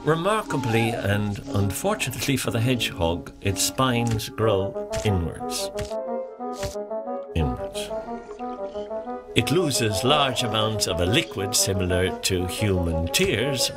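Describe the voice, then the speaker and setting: An actor playing a pompous, excitable male nature documentary presenter in a mock-serious animated short, theatrical and self-important. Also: fairly low pitch. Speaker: narrator. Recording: music bed behind the voice.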